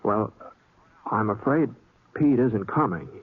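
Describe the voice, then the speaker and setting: An actor playing a gentle, bashful American cowboy in an old radio western, husky, with a halting, stammering drawl. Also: male voice, fairly low pitch, animated. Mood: reluctant, concerned. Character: gentle, bashful drifting cowboy, plain-spoken. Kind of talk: 1950s radio western acting, folksy narration and dialogue. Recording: tinny old-time radio recording.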